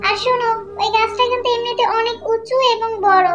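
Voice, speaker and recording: Cartoon mother bird, fretful and scolding, piercingly high and tearful, over a clear music bed. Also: steady tone, fairly quick. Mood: worried, scolding. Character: anxious, scolding mother bird, grief-stricken wife. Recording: muffled sound with music behind.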